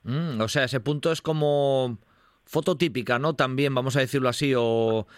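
Conversational radio host, dry studio sound. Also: male voice, mid-range voice, normal speed, no room sound. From Asturias, Spain. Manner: conversational, hesitant with restarts. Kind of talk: radio interview.

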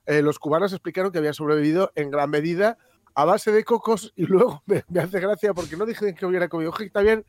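Chatty, slightly high-voiced man. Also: fast, no echo. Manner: chatty, laughs often, tags remarks with "¿no?". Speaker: radio co-host reading news. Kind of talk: casual radio chat.

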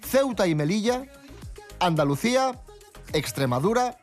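A man's voice: sits high, sing-song, unhurried, faint music behind. A man with an Asturian accent hosting a morning radio show, reading out figures and cueing segments. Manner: reads figures and cues segments. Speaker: radio host. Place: radio studio.

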